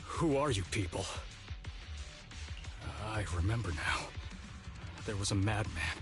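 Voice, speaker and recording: An actor voicing a frightened, wounded survivor in a video game, shaky and halting. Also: male voice, lowish voice, animated, loud music behind the voice. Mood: dazed, scared. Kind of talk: game voice acting.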